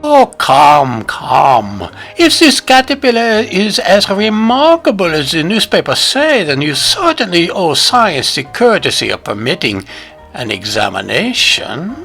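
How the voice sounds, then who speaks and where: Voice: medium pitch, huge pitch swings, unhurried, vocal fry, fairly bright tone, soft background music, exaggerated voice. A performer playing a grandiose, formal scientist who speaks persuasively and theatrically in an old-time radio comedy. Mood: insistent.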